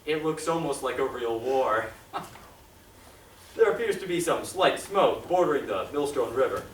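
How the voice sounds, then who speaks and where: A man's voice: medium pitch, animated, normal speed, lightly acted. A man playing a confident army signal corps captain reporting from the field in a 1930s radio drama style. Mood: amused, casual. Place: theatre stage, live performance. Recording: noisy echoey stage recording from far off.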